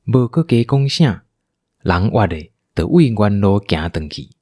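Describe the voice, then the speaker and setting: A man's voice: on the low side, sing-song, a little slow, a little mellow, no room sound, studio quality. A man with a Taiwanese accent narrating a scripted audio drama as a storyteller.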